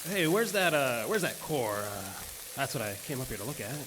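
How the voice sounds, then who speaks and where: A man's voice: mid-range voice, big pitch swings, moderate pace, close to an everyday voice. An actor playing a jittery, weary oil-field geologist in a horror audio drama, hesitant and repeating his words. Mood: uneasy, puzzled. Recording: noisy track with ambient sound.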